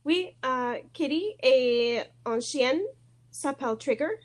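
Very halting, nervous American woman learning French. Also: sits high. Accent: American accent in French. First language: English. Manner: hesitant, nervous, shy, searching for words. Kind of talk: halting conversation practice.

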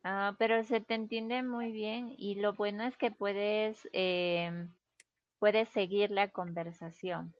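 Peruvian woman, slow, patient talk. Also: medium pitch, fairly even intonation, no room sound, lo-fi sound. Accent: Peruvian Spanish. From Peru. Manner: slow, patient, explains with pauses. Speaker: conversation partner. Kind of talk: casual conversation.

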